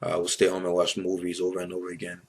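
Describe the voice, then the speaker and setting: Tired young guy from ivory coast, french his first language, deep husky voice, swears a lot, rambles. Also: completely flat delivery, fast. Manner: blunt, swears freely, rambling asides. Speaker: vlogger. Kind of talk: casual storytelling to camera.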